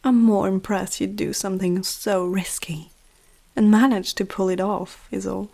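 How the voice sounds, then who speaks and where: A woman voicing a powerful, teasing female vampire noble in an ASMR roleplay, speaking softly and often close to a whisper, with an aristocratic, self-assured manner. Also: mid-range voice, fairly even intonation, a little slow. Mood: intrigued, teasing. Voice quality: soft, breathy, often near whisper. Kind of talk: ASMR roleplay addressed to the listener.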